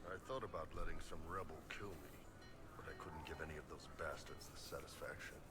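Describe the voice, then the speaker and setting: A deep, gruff video game warrior talks in a blunt, laconic way. He is a huge horned mercenary with a spy's past and a wry streak, heard over clear background music. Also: brisk. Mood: grim, candid.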